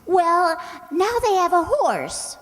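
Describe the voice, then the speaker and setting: A woman's voice: very high voice, big pitch swings, slow, exaggerated voice. A woman playing a scatterbrained, naive and cheerfully confident young working woman in a radio comedy, with an earnest, guileless manner. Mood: excited. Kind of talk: radio sitcom acting. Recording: echoey hall, long reverb.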